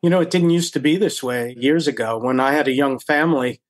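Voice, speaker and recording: A man's voice: medium pitch, brisk, no echo. An older American man chatting casually as an interview guest and telling stories from his own experience. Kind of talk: casual storytelling chat.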